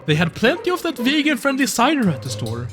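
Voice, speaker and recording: A woman's voice: a little high, huge pitch swings, fairly quick, music in the background. A woman voicing an easygoing, affectionate dog husband in a visual novel, with a soothing, casual, coaxing manner. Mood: breezy, reassuring. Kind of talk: visual novel character acting.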